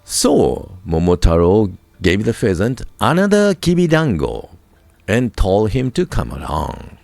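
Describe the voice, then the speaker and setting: A man with a Japanese accent narrating a folk tale from a script, in a deliberate, expressive storybook manner with dramatic emphasis. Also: fairly low pitch, gravelly, noisy background. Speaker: storyteller, radio voice crew narrator.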